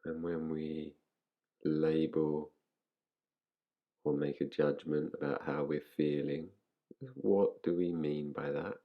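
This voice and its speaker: Deep, slow, gravelly male meditation guide. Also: lo-fi sound. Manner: calm, unhurried, soothing delivery with long pauses. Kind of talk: guided relaxation, yoga nidra meditation.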